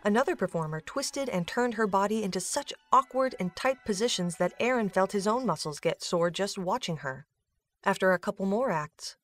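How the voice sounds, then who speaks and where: Lowish-voiced woman narrating a story.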